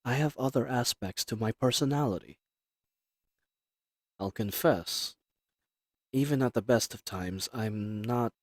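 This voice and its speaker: A man playing a formal, reserved assassin who is earnestly flirtatious, in a romantic audio roleplay with measured, polite speech. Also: lowish voice, no echo, studio quality. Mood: earnest, restrained. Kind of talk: scripted romantic audio roleplay addressed to the listener.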